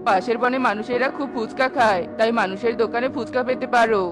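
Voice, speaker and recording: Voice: medium pitch, fast, close to an everyday voice. A performer voicing a talkative, helpful male myna shopkeeper in a cartoon, with faint music behind. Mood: helpful, explanatory.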